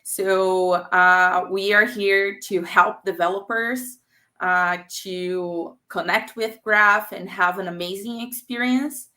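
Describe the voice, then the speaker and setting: A woman's voice: medium pitch, steady tone, takes it slowly, pushed and bright. A woman from Brazil, a native Portuguese speaker, talking as a product manager guest on a video call. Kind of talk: casual webinar chat.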